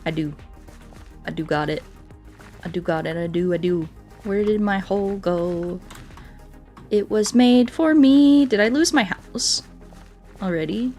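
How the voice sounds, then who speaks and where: A woman livestreamer talks casually through her gameplay with an ordinary mid-range pitch. She is playful and self-poking, reacting expressively and chatting with viewers. Game music plays faintly behind her voice. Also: measured pace, long pauses, warm tone. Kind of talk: casual gameplay chat, reading out viewer comments.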